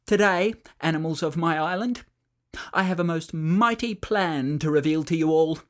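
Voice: medium pitch, moderate pace, voice pushed up, close to an everyday voice. A storyteller voicing a pompous, boastful king who speaks grandly and invents lofty-sounding words.

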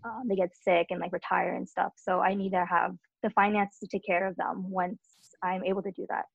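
A woman's voice: lowish voice, flat delivery, fairly quick, vocal fry, breathy edge, no room sound, phone-quality sound. A young woman talking casually on a podcast, chatty, with frequent fillers. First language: Cantonese and English. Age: young adult.

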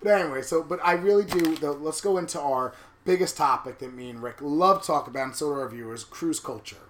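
A man in his thirties co-hosting a candid casual chat, blunt and animated. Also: on the high side, quick pace, slight background noise. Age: 30s. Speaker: podcast co-host. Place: New York.

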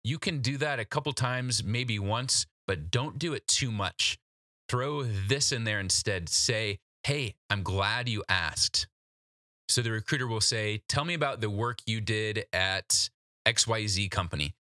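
Guy hosting an advice podcast, crisp bright voice, very dry close sound, talks straight to you, practical and conversational. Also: on the low side, unhurried, dry recording. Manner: practical, direct coaching, addressing the listener. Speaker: podcast host giving job interview advice. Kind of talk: instructional solo podcast narration, conversational.

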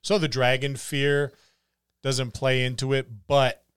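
A man's voice: medium pitch, level tone, takes it slowly, fairly bright tone, clear, resonant tone, dry recording, studio quality. A man co-hosting a gaming podcast as a game master, discussing things casually.

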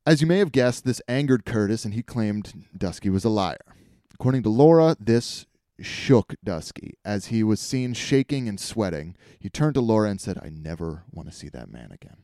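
A man's voice: lowish voice, lively intonation, normal speed, mellow, dry recording, clean studio sound. A man hosting a podcast, telling a comedic story in a wry, irreverent way. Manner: wry, irreverent, swears casually.

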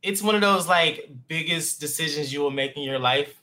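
A man's voice: on the high side, normal speed, sharp tone. A man hosting a podcast, upbeat and friendly, calling his guest man and brother. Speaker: podcast host. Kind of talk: casual interview hosting.

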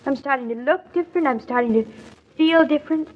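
An actress playing a naive, talkative young expectant wife in an old-time radio drama, artless and questioning. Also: female voice, sits high, quick pace, close to an everyday voice. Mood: anxious, wistful. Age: young adult. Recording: dull, lo-fi old radio recording.

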